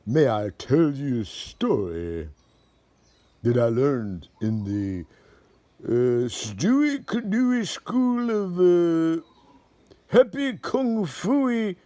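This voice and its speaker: A woman voicing a laid-back kung fu teacher who calls people "dude" and tells stories in a slow, easygoing, playful way. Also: sits low, big pitch swings, warm tone, no echo, pitched below the performer's own voice, exaggerated voice. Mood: storytelling.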